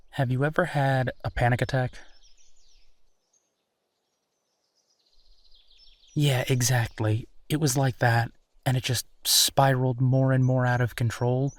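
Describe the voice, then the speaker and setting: A man playing a gentle, embarrassed werewolf boyfriend in an intimate roleplay audio drama, speaking hesitantly and self-deprecatingly. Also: mid-range voice, little pitch movement, normal speed, no room sound, clean studio sound, natural voice. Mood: explaining. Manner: hesitant, self-deprecating, sighing, soft chuckles.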